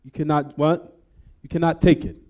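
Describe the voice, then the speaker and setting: A man's voice: fairly high pitch, fairly even intonation, normal speed, a little mellow. A man teaching a class on hadith, explaining in English with recited Arabic and often quizzing the students with "what?" questions. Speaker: Islamic teacher.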